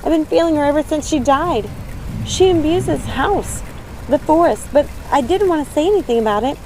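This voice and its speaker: A frightened young witch sister played by a woman reader, high and urgent. She exclaims excitably in a dark fantasy storybook, over faint music. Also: normal speed, slight background noise, poor audio. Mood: alarmed.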